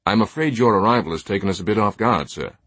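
A man's voice: fairly low pitch, animated, fast, a bit bright, slightly rough, no echo. Man acting a flustered, apologetic airport official. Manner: deferential, uneasy.

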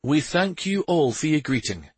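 A man voicing an angry, commanding prince bent on justice in a fantasy audiobook, speaking forcefully. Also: big pitch swings, normal speed, slightly rough, no echo. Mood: stern, commanding. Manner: forceful, commanding, low intense speech. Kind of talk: fantasy audiobook character dialogue.

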